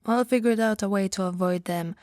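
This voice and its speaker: Woman acting a blunt, grumpy giantess, soft mellow voice. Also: mid-range voice, a little fast, no room sound, clean studio sound, close to an everyday voice. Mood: reluctantly softening. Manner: blunt, dismissive, grudging. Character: grumpy, disdainful giantess secretly softening toward tiny human pet. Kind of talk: ASMR roleplay acting.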